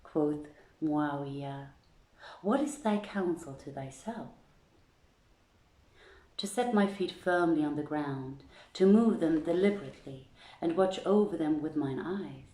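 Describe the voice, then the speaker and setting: A woman's voice: low voice, lively intonation, a little slow, mellow, roomy sound, poor audio. A woman hosting an online reading, moving between informal chat and reading old tales aloud, recorded in a room at home.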